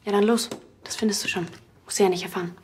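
An actress playing a helpful, no-nonsense police station colleague in a naturalistic drama style. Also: female voice, medium pitch, steady tone, quick pace, a little hiss or hum, lightly acted. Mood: brisk, helpful.

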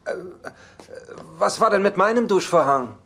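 An actor playing a sardonic, grumbling bachelor with a dry delivery in a dubbed sitcom. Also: male voice, high voice, lively intonation, normal speed, vocal fry, fairly bright tone, exaggerated voice. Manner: dry, sarcastic. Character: sardonic, grumbling bachelor reluctantly sharing his house. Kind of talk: German-dubbed sitcom dialogue. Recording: noisy TV soundtrack with laugh track.

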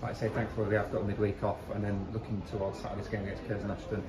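A man puts brisk, leading questions to a manager in a fast, fairly flat, low voice. The sound is roomy and echoey, with a crowd clearly heard behind. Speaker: club media interviewer. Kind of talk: post-match interview questions.

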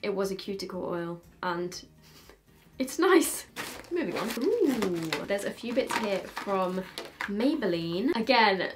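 A woman's voice: medium pitch, expressive, noisy background. Young British woman, twenties, chatty beauty vlogger, casual desk-side unboxing. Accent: British English. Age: 20s. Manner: chatty, thinks aloud, quick asides. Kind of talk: casual chat, unboxing makeup. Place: bedroom filming setup, indoors.

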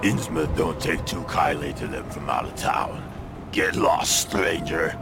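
An actor playing a gruff, hostile small-town constable in a video game, curt and menacing, with rustic phrasing. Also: male voice, rumbling low, unhurried, over music.

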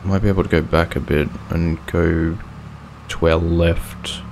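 A man playing a cautious, unsure zombie apocalypse survivor in an improvised game roleplay, speaking in a hesitant, low-key way. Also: level tone, measured pace, a little mellow, a little hiss or hum.

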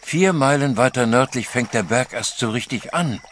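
A man's voice: medium pitch, lively intonation, normal speed, fairly bright tone, a little hiss or hum, lightly acted. An actor playing a worried, stubborn father in a radio play, speaking in a tense, insistent way. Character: worried, stubborn male father searching for his daughter.

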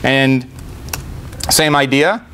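A middle-aged man giving a live software demo in an informal, step-by-step explanatory way. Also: mid-range voice, very slow, slight background noise. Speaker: tech presenter. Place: indoor meetup presentation room.

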